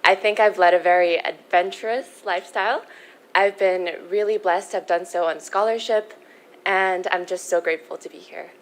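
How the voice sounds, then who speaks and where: A young woman from the Philippines, a pageant contestant answering interview questions in a poised, earnest, measured way. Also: fairly low pitch, fairly even intonation. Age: young adult.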